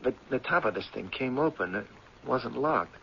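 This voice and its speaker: A man's voice: medium pitch, expressive, moderate pace, gravelly, fairly bright tone, slightly rough, heavily acted. An actor playing a curious, playful young male professor who grumbles mildly in a 1970s radio drama. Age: young adult. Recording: muffled 1970s radio broadcast.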